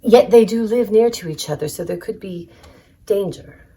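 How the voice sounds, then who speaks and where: A woman's voice: medium pitch, normal speed, dark tone, lightly acted. A woman playing a nosy, tart younger sister in a dramatized novel reading, with an arch, inquisitive manner. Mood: arch, suspicious.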